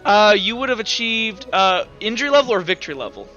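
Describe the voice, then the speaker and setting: A man's voice: high-pitched, very animated, brisk, pushed and bright. A man casually narrating and refereeing a tabletop roleplaying game as game master. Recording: dull lo-fi sound, music behind.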